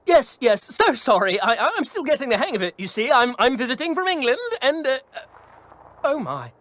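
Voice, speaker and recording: A woman voicing an excitable, flustered young rally car visiting from England, starstruck and gushing. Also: high voice, moderate pace, heavily acted. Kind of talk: animated game voice acting.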